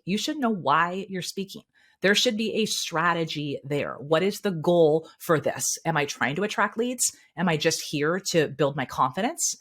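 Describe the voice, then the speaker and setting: A woman speaking coach, a guest on an interview show, giving candid and energetic advice. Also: low voice.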